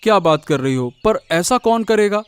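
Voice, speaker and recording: A man's voice: sits high, lively intonation, moderate pace, clean tone, no room sound. A man voicing a humble, naive, dejected young vegetable seller in a moral-story cartoon. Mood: puzzled, worried.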